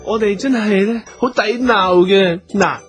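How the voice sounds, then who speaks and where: A man playing an excitable, fussy, fawning talent manager in a radio comedy drama, with an effusive, wheedling delivery and a Hong Kong Cantonese accent. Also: high-pitched, unhurried, soft background music. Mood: gushing, fawning.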